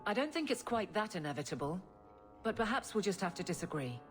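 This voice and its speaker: A woman's voice: medium pitch, sing-song, a little fast, natural voice. A woman voicing a blunt, sincere, duty-bound guard captain in a video game, frank and firm. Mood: frank, exasperated. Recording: soft music behind the voice.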